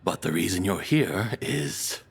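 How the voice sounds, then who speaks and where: A man performs a lovestruck, unhinged yet apologetic male captor in an intimate audio drama. His voice sits low and is very breathy and rough-edged. He rambles and stammers nervously, his intonation swinging widely as he goes. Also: expressive, measured pace, full character voice. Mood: awkward explaining. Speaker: audio roleplay voice actor.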